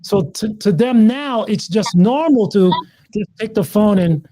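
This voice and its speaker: A man's voice: high voice, lively intonation, unhurried, dark tone. A man hosting a casual talk, hesitant and searching for words, who often restarts his sentences. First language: not Taiwanese, lives in Taiwan. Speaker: podcast host. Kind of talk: casual conversation.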